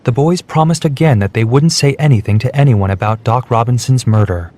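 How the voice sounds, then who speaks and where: A man's voice: medium pitch, fairly quick, darkish tone. A man narrating a scripted story for children.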